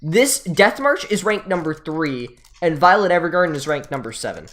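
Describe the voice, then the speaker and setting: A man's voice: fairly high pitch, big pitch swings, normal speed, crowd noise. A young man co-hosting an anime podcast, chatting casually with hesitant, repetitive phrasing. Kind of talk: casual chat about anime.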